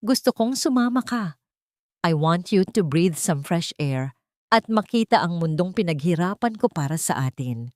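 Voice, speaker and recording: A woman's voice: lowish voice, animated, normal speed, darkish tone, no room sound, close to an everyday voice. A devoted grown son in an audio melodrama, acted by a woman narrator. He sounds concerned and earnest, with a dry, close recording. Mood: earnest, coaxing. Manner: concerned, earnest, mixing in English. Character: worried, devoted adult son, wealthy businessman.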